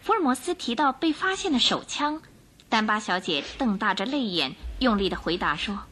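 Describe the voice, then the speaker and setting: A woman's voice: on the high side, expressive, normal speed, fairly bright tone, slight background noise. A woman narrator reading scripted dramatic story passages for a radio drama.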